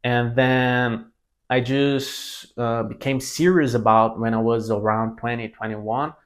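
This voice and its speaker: Young Brazilian man, halting speech. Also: medium pitch, level tone. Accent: Brazilian Portuguese. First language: Portuguese. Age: 20s. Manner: halting, many restarts and self-corrections. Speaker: language mentor. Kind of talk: casual talk to camera.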